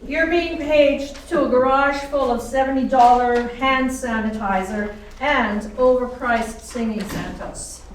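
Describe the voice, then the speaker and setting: High-pitched, wry young wife. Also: female voice, a little slow, hardly any pauses. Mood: tender, teasing. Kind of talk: radio play acting. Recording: echoey room, distant microphone, background noise.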